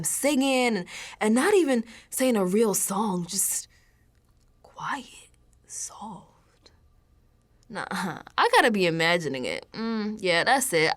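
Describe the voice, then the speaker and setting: Actress doing a scared young woman coming apart, radio drama monologue, highish voice, really swingy pitch, talks casual and halting. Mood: hurt, self-soothing. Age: young adult. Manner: colloquial, halting, slow, drifting into hushed tones.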